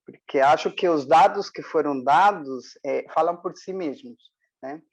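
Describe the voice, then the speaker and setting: A woman from Mexico and Nicaragua with a Spanish accent, speaking as a guest on an online panel, warm and storytelling with many fillers. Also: low-pitched, measured pace, slightly rough, muffled recording. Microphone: video call.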